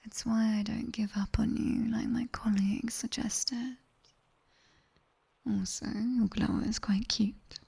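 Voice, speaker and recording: A British woman playing a sharp-tongued, teasing nightmare demon tutor in an ASMR roleplay, whispering breathily and intimately close to a binaural mic. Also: fairly high pitch, fairly even intonation, creaky, husky, heavily acted. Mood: teasing, fond. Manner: slow, intimate, teasing, commanding. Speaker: ASMR performer. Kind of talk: whispered ASMR roleplay, guided body scan. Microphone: close binaural ear microphone.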